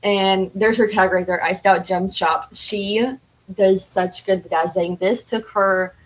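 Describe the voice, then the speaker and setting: A woman's voice: mid-range voice, fairly even intonation, unhurried, poor audio. A young woman chatting casually and enthusiastically as a podcast guest, rambling and saying "like" often. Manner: enthusiastic, rambling, heavy use of "like".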